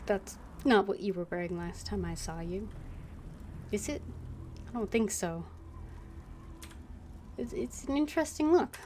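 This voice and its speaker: A woman's voice: medium pitch, a little slow, long pauses, dark tone, slight background noise. A woman giving casual live gameplay commentary, musing and hesitant as she thinks aloud. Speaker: game streamer.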